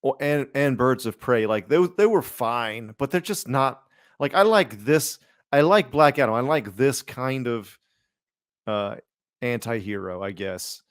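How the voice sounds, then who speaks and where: A man's voice: mid-range voice, expressive, unhurried, smooth, dry recording, studio quality. A man co-hosting a podcast, chatting casually about superhero films in a rambling, informal, slangy way. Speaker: podcast co-host.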